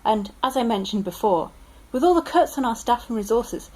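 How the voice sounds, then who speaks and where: A woman's voice: a little high, lively intonation, moderate pace, warm tone, poor audio. A woman playing an officious, politely apologetic male police sergeant in an amateur radio drama.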